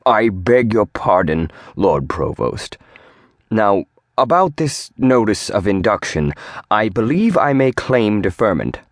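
A man's voice: lowish voice, sing-song, takes it slowly, no echo, clean studio sound, pitched above the performer's own voice. A man voicing an earnest young male demon scholar who pleads formally and a little nervously. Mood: pleading.

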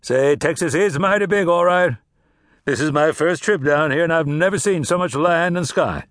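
A man's voice: on the high side, normal speed, a bit bright, dry recording, clean studio sound, pitched above the performer's own voice, natural voice. A male narrator voicing a suave, talkative middle-aged traveling salesman. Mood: genial. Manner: smooth, chatty.

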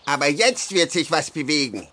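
A man's voice: fairly high pitch, big pitch swings, normal speed, background noise. An actor playing a blunt, boastful young male animal in a children's audio drama, with a brash delivery.

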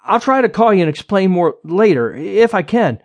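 A panicked, loving husband on the run in an audiobook thriller, played by a male narrator. He speaks in halting, urgent stammers, with a raised, very mobile pitch. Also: big pitch swings, a little mellow, slightly rough, no echo, muffled recording, exaggerated voice. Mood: distraught, urgent. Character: hunted, distraught husband and father, loving but panicked.

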